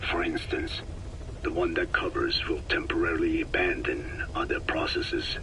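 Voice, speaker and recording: An actor playing an elderly, solemn Navajo sage in a video game, speaking formally in a hushed, breathy rasp. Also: male voice, very deep voice, background noise, heavily acted. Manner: slow, grave, measured, formal phrasing. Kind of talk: video game voice acting, philosophical monologue.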